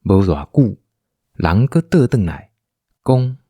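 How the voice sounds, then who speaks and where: Man, Taiwanese accent, low voice, animated storytelling, dry studio sound. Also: slow pace, breathy edge, no echo. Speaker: narrator. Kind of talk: scripted storytelling narration for an audio drama.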